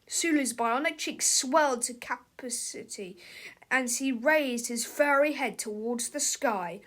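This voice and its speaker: High voiced lady doing a really dramatic kids book read aloud. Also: measured pace, fairly bright tone. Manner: dramatic, theatrical. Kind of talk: expressive children's storybook narration.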